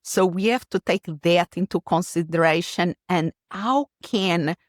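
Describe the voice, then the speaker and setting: A woman from Portugal speaks in English with a Portuguese accent, her voice sitting a little low. She explains her ideas carefully, restarting a phrase now and then. The sound is close and dry, with no room around it. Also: a little slow, no echo. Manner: thoughtful, explanatory, occasional restarts. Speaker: keynote speaker, guest. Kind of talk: interview answer.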